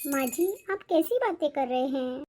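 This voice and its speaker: A woman's voice: high voice, expressive, moderate pace, warm tone. A woman voicing a put-upon young mother and daughter-in-law in a cartoon family drama, polite but aggrieved.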